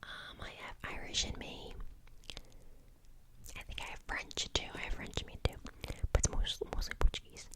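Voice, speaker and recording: Chatty young woman whispering softly. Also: moderate pace, pauses often. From United States. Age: 20s. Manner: soft unvoiced whisper, chatty with many fillers. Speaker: ASMR channel host. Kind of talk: whispered ASMR Q&A.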